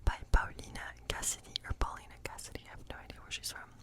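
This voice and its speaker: Girl doing a whispery witch for asmr roleplay, quirky n a bit spooky, mutters stuff over and over, easily delighted. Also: natural voice. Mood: delighted, excited. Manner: hushed, halting, muttering repetitions to herself. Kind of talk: whispered ASMR fantasy role play.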